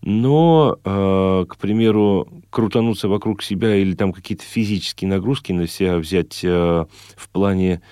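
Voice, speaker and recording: A man with a very low, mellow voice answers interview questions at length. His wording is formal and measured, explanatory in style. The sound is dry and close. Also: smooth, dry recording. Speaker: guest, classical singer and cultural organizer. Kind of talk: interview conversation, reflective and explanatory.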